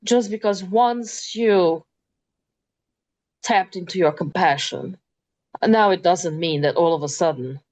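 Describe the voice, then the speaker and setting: A woman, hosting a talk in a thoughtful way, pausing with many ums. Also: on the low side, darkish tone. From Transylvania, Romania. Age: 50s. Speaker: interview host. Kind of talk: moderated talk.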